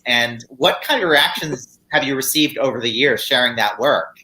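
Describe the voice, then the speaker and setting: A host with a bright, crisp-edged voice leads a casual livestream interview. The delivery is enthusiastic and encouraging, moving conversationally from question to question. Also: medium pitch, moderate pace, rough recording.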